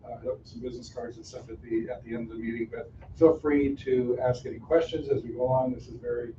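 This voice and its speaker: A man's voice: medium pitch, steady tone, quick pace, dark tone, a little hiss or hum. A man hosting a small meeting, introducing people in a friendly, rambling way and repeating his words. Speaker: meeting host. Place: meeting room. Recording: distant, echoey, lo-fi.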